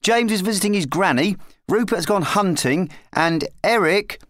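A man's voice: high-pitched, animated, moderate pace, a bit bright, dry recording, studio quality, voice pushed up. A man voicing a small, eager, polite and plucky boy squire in a children's storybook. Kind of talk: children's storybook character reading.

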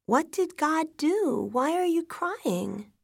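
A woman's voice: high-pitched, very animated, takes it slowly, gravelly, voice pushed up, heavily acted. A woman voicing a small frightened daughter, innocent and pleading, in dramatic storytelling. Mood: confused, upset. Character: small frightened daughter asking for her parents. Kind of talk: dramatic audiobook-style storytelling.

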